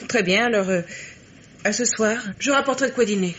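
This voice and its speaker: An actress playing an obliging, loyal young woman in an old French radio mystery, with a brisk, helpful manner. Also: fairly high pitch, close to an everyday voice. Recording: muffled, hissy old radio recording.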